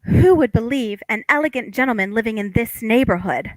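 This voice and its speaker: A woman's voice: a little high, lively intonation, fairly quick, no room sound, close to an everyday voice. A woman playing a flustered, wry young housewife in a table read of a stage comedy. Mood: flustered, wary. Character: flustered young housewife, wary yet tempted, dryly teasing.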